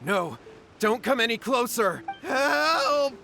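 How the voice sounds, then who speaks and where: High-pitched, nervous young man. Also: animated, slow pace, gravelly, over loud music, exaggerated voice. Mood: panicked, flustered. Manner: nervous, stammering. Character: anxious, timid young man, easily flustered. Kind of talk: animated video game voice acting.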